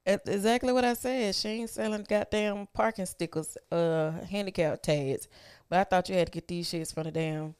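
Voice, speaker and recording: A woman's voice: mid-range voice, fairly even intonation, normal speed, darkish tone, clean tone. An older woman co-hosting a podcast, chatting casually, blunt and dry in her teasing. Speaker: podcast co-host. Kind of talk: casual chat.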